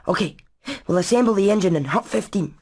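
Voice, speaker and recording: A woman voicing a practical, clever engineer hen in an animated game, methodical and matter-of-fact. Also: medium pitch, quick pace, breathy edge. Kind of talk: animated video game voice acting.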